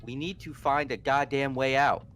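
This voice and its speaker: A man's voice: medium pitch, steady tone, moderate pace, fairly bright tone, faint music behind, close to an everyday voice. A man as an irritable, foul-mouthed young guy. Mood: angry, fed up. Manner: blunt, swearing, irritable. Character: angry, foul-mouthed, hot-headed young man trapped in school. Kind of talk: amateur game character acting.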